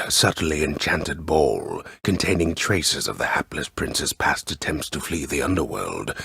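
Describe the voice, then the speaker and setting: An actor playing a grandiose, wry storyteller in a fantasy video game, narrating in a solemn, measured, ornate manner with a gravelly, husky voice. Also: male voice, very deep voice, a bit bright, dry recording, clean studio sound, exaggerated voice. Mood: solemn, ominous. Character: grandiose, wry old-world male storyteller of the underworld. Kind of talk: dramatic scripted fantasy narration. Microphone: close studio microphone.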